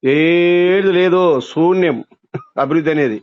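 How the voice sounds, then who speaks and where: A man's voice: on the high side, measured pace, smooth, no room sound. A man with a Rayalaseema Telugu accent speaking colloquially and emphatically on a phone call, complaining candidly in rural style. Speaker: local party leader being surveyed by phone. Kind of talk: candid phone conversation, airing grievances. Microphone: telephone. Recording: muffled lo-fi leaked phone call.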